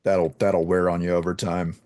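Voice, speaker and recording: Low-voiced man, casual and halting. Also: little pitch movement, darkish tone, no echo. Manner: halting, repeats words as he thinks. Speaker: interview host. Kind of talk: casual chat.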